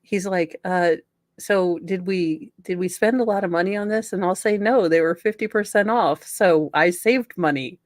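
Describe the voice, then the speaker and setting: A woman's voice: on the low side, normal speed, dry recording. Sarcastic woman reading aloud, dry close sound. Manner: sarcastic, theatrical, swears casually. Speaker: podcast host. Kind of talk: comedic dramatic reading with mocking asides.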